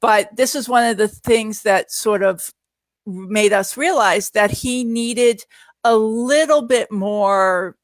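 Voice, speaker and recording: A woman's voice: on the high side, slow, dry recording. A middle-aged woman telling personal stories conversationally as a parent guest, explaining things in a rambling way. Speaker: guest parent sharing experience. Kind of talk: conversational personal storytelling in a live Q&A.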